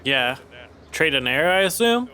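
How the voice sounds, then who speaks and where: A man's voice: on the high side, very animated, a little slow, bright, forceful tone, smooth, full character voice. An actor playing a quirky, earnest young man with a mild manner.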